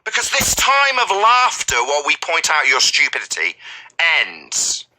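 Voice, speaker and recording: A man's voice: on the high side, wildly swooping pitch, measured pace, sharp tone, low-quality recording. A man berating an opponent in a heated debate, with a domineering, repetitive, scolding manner. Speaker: debate host.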